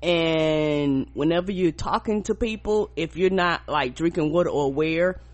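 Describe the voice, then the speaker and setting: A woman co-hosting a podcast, stuffed up with a cold, telling stories in a casual, profane, animated way. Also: low voice, moderate pace. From rural United States. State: congested from a cold. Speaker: podcast co-host.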